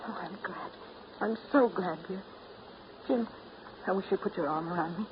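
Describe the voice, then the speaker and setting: A muffled old broadcast with clear hiss carries an actress as a devoted woman in love. Her medium-pitched voice is earnest and quietly insistent, in 1930s radio drama style. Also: normal speed, close to an everyday voice. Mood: tender, urgent.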